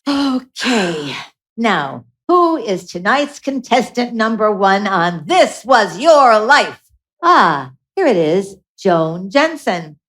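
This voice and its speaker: A woman's voice: mid-range voice, big pitch swings, slow, a bit bright. A woman playing a bossy, exasperated little angel in a radio play, grumbling with sarcastic exclamations. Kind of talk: live radio play acting.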